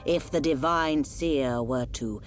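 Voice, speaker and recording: Super low slow lady minister, sly and scheming, fake humble, game villain type. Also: faint music behind. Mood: insinuating. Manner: measured, insinuating, feigned humility. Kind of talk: scripted game cutscene acting.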